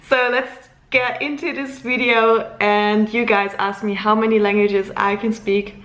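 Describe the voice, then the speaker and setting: A woman's voice: fairly high pitch, level tone, unhurried, a bit bright, echoey room. A woman in her twenties from Luxembourg, German as her first language, chatting casually to camera as a vlogger, giggly and rambling.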